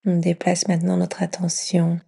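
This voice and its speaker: A woman guides a yoga nidra session in a mellow, husky voice. She keeps her tone very even and soothing, often dropping into a breathy whisper as she teaches. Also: lowish voice, normal speed. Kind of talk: guided meditation.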